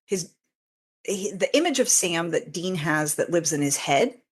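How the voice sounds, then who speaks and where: A woman's voice: on the low side, lively intonation, unhurried, breathy edge. A woman talking casually as an interview guest, explaining in detail in an informal, rambling way and often interrupting herself. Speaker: interview guest, fan fiction author. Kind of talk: casual, detailed explanation of own writing process.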